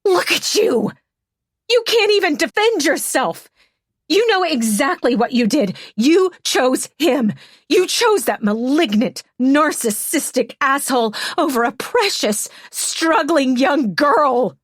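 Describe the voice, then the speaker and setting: A woman narrator voicing a furious adult woman who yells and curses in relentless accusations. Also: low-pitched, a little slow, hardly any pauses, slightly rough, no room sound, clean studio sound. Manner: yelling, cursing, relentless accusations.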